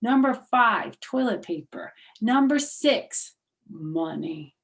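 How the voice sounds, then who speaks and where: A woman's voice: fairly high pitch, animated, slow pace, voice pushed up. A woman voicing a bossy, self-righteous little schoolgirl who scolds emphatically. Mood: scornful.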